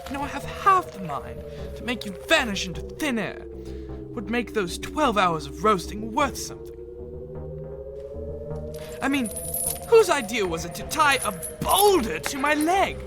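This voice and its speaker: A man performs a cocky, petulant trickster in a comic audio drama. The voice rides high and leaps around theatrically, smug one moment and whining the next. Music plays behind it. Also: very animated, moderate pace. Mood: petulant grumbling. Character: cocky, whiny, theatrical male trickster prisoner.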